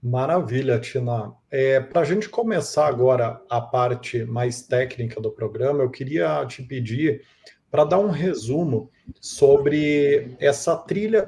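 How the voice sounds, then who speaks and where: A man's voice: mid-range voice, dark tone. A man with a Brazilian accent hosting a podcast interview in a polite, enthusiastic and wordy way.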